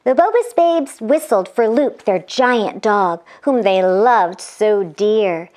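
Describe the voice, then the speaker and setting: A woman narrating a children's book in an expressive read-aloud, with playful exclaimed asides to young listeners. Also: mid-range voice, slow pace.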